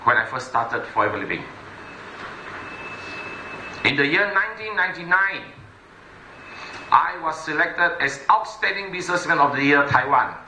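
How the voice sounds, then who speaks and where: A man's voice: a little high, lively intonation, moderate pace, long pauses, reverberant, noisy background. A middle-aged man giving an emphatic stage presentation to an audience, repeating his key points. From Malaysia. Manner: emphatic, repetitive, addresses the audience directly. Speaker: motivational business speaker.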